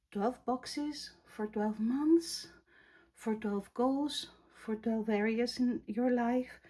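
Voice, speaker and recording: Slow, husky Greek woman reviewing planners. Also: fairly high pitch, dark tone. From Greece. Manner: slow, thoughtful, searching for words. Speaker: planner vlogger. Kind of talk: casual product review.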